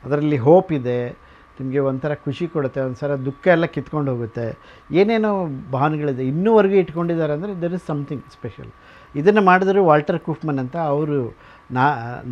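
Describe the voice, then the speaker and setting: Higher-voiced man explaining, dull recording. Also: a little mellow. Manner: mixes English words and phrases into speech. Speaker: radio museum owner giving a tour. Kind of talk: informal explanatory tour talk.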